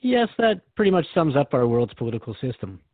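Animated, quick-talking male radio host. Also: on the high side, no room sound, phone-quality sound. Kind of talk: conversational scripted radio hosting.

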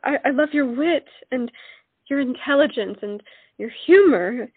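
A woman's voice: high voice, animated, measured pace, breathy edge, no echo, heavily acted. A woman playing an earnest, hesitant young colonial lady, pleading in a romantic audio drama. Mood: hesitant, tender. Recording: muffled lo-fi audio, music underneath.

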